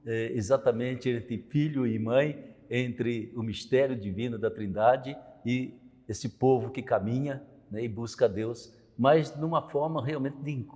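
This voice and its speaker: Older Brazilian priest in echoey hall. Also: male voice, medium pitch, a little slow, darkish tone. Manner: reflective, tells memories, frequent "né?". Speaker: priest and show host. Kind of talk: conversational religious talk. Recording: long hall reverb.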